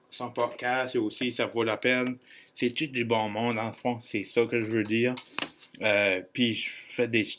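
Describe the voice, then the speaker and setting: A man's voice: mid-range voice, a bit bright, a little hiss or hum. A man with an Acadian French accent hosting a solo podcast in an informal, joking, rambling way with bits of English slang. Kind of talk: casual podcast monologue.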